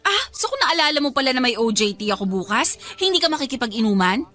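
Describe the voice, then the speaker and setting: A woman's voice: high voice, sing-song, fast, pushed and bright, exaggerated voice. An actress playing a responsible, exasperated young woman in a radio drama, reproachful and emphatic. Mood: indignant, reproachful.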